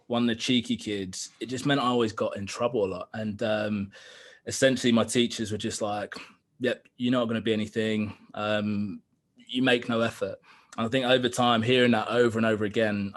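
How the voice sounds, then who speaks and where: A man in his thirties from East London with an East London accent, talking openly and reflectively as a podcast guest, with plenty of ums. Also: mid-range voice. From East London, England. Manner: open, reflective, lots of ums. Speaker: podcast guest, digital consultant. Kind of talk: casual interview chat.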